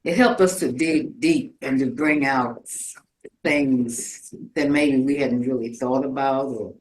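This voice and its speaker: A woman's voice: rumbling low, slow, a little mellow. An older woman chatting casually in a group about taking part in a community project, effusive and given to repeating herself. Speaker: participant in a community storytelling theatre group. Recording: roomy echo.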